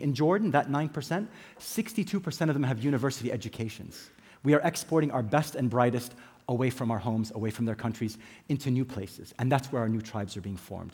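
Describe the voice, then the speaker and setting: A middle-aged man with an American accent speaks in a husky, mid-pitched voice. He gives a prepared conference talk, measured and emphatic, letting lists of points build one after another. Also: a little mellow. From England. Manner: measured, emphatic delivery with dramatic pauses and lists.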